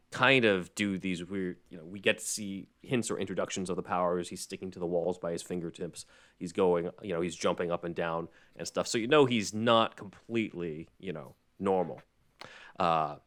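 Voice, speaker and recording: A man with a very low voice hosts a casual show. He explains things conversationally, wandering into asides as he recaps. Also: fairly bright tone. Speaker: podcast host.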